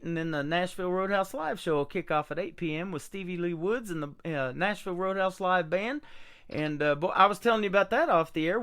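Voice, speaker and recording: A man's voice: high voice, fairly bright tone, clear, resonant tone. Upbeat, chatty male radio host. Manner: upbeat and chatty, with frequent "uh" fillers. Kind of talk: casual radio interview chat.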